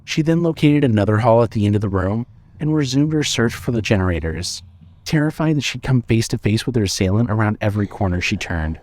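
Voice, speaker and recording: A man narrating a scripted suspense story in a measured, dramatic storytelling manner. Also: lowish voice, animated, a little mellow.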